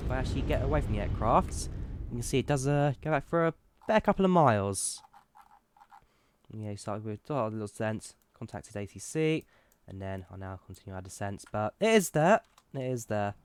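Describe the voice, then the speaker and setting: A man's voice: medium pitch, expressive, brisk, clean tone. A man giving casual live stream commentary while flying a simulator, chatty and self-deprecating.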